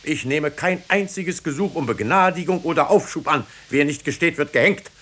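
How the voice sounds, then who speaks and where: An actor playing a stern, commanding judge who will not bend, in formal 1950s German radio-drama style. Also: male voice, on the high side, moderate pace, a bit bright, husky. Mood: stern, unyielding. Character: stern, unyielding male judge of authority.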